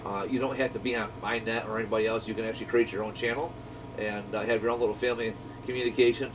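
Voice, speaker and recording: A man's voice: level tone, fast talker. A man giving a casual, rambling product review in a conversational, enthusiastic way, like a shop owner talking to viewers. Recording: distant mic, noisy low rumbling hum.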